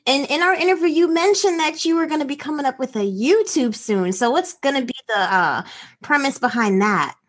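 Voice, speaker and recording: A woman's voice: sits high, animated, fairly quick. Brief: woman host, bubbly and encouraging, conversational interview tone. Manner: enthusiastic and affirming, hypes the guest. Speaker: podcast host. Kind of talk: casual interview chat.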